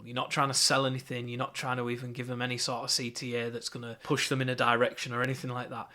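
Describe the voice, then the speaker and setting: A man's voice: medium pitch, fairly bright tone. A young man with a Yorkshire accent, teaching an online marketing course in a conversational, upbeat way with fillers and restarts. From England.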